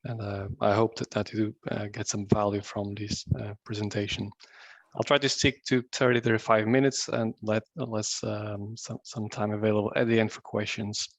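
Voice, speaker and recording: A man's voice: on the low side, flat delivery, moderate pace, no room sound. Portuguese-born man presenting over a video call. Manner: friendly, conversational, some restarts. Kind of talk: online meetup presentation.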